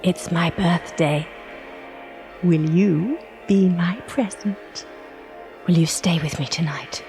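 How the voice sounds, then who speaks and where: A woman's voice: on the low side, takes it slowly, pauses often, over music. An actress playing a passionate, romantic woman in love with a younger man, yearning and poetic, in an audio drama. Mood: tender.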